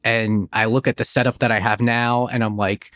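Male podcast host, thirties, medium pitch, enthusiastic nostalgic chat, dry close mic. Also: little pitch movement, moderate pace, fairly bright tone, dry recording. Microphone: close studio microphone in home office.

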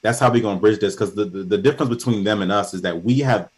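Animated storytelling man, casual talk. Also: fast. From Ghana. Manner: animated storyteller, voice rising high in anecdotes. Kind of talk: casual conversation.

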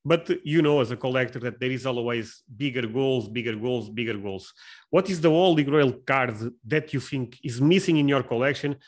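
A male podcast host with a medium-pitched voice. He speaks English in a casual, conversational way, halting and doubling up on words as he builds his questions and answers. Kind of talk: casual interview chat.

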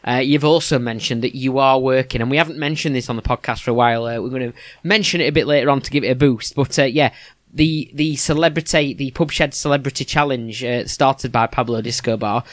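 A man's voice: medium pitch, fairly quick, no echo. Male podcast host, Yorkshire accent, chatty and chuckling, dry close sound. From England. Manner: chatty, chuckling, with many restarts. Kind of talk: casual interview chat.

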